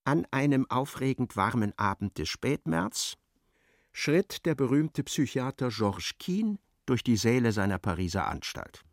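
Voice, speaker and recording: A man narrating a literary radio drama in a measured, articulate, storytelling way. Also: mid-range voice, sing-song, no room sound, clean studio sound.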